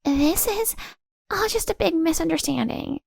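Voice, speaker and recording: Lady doing a high pitched cheating wife in a story, pleading n indignant, real dry close sound. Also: lively intonation, unhurried, gravelly, a little mellow, no echo, exaggerated voice. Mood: flustered denial.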